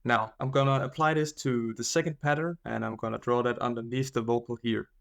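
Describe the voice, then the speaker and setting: A man's voice: mid-range voice, fairly even intonation, brisk, gravelly. A man casually narrating a music production tutorial as host, explaining things step by step. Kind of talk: casual screen-recorded software tutorial narration. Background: synth music and vocal effect demos.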